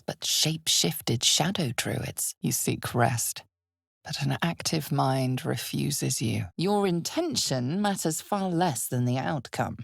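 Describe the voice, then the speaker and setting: A woman performing a solemn, ominous narrator for a dark fantasy game, with a husky, gravelly voice and a measured, deliberate delivery. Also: dry recording, clean studio sound, full character voice. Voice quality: husky, breathy, gravelly low voice. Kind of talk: scripted dramatic fantasy game narration in second person.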